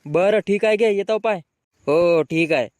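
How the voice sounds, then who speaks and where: Man playing a high-voiced brash village lad. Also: measured pace, noisy background. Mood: grumbling banter. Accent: rural Marathi. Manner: bickering, slangy. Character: brash, grumbling young village men in a comic cartoon. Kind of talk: comic cartoon dialogue.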